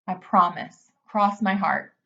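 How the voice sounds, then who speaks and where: A woman's voice: mid-range voice, slow pace, vocal fry, pushed and bright, lo-fi sound, pitched below the performer's own voice, heavily acted. A woman voicing an earnest, desperate young male pig from a children's storybook, pleading and urgent. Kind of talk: children's storybook character reading.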